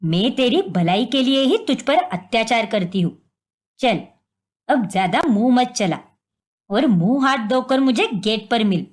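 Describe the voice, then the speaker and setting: महिला की आवाज़ में कड़क, डाँटने वाली बुज़ुर्ग सास. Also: female voice, on the high side, lively intonation, measured pace, pitched below the performer's own voice. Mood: stern, bossy. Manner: bossy, sharp-tongued, scolding. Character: scolding older mother-in-law, stern and suspicious.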